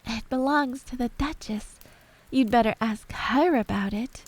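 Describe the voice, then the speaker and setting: A woman's voice: a little high, a little slow. A woman voicing a polite, earnest young girl in a storybook tale, with a prim way of talking. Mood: helpful.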